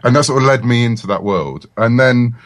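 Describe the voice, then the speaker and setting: A man's voice: medium pitch, animated, brisk, no room sound, studio quality. An English man in his forties, a writer being interviewed, chatting casually and enthusiastically. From England. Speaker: comic book writer, guest.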